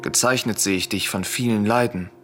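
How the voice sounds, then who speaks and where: Casting: secretive exiled prince and protective brother, low, grave, solemn delivery for radio tragedy, music underneath. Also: male voice, steady tone, normal speed, fairly bright tone. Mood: shaken pity. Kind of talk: solemn radio drama acting of verse tragedy.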